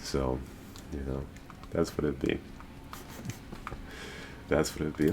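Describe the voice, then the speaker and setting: Deep-voiced man ranting profanely. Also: little pitch movement, slow pace, gravelly, darkish tone, slightly rough. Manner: rambling, profane, emphatic. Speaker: host. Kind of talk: casual podcast rant.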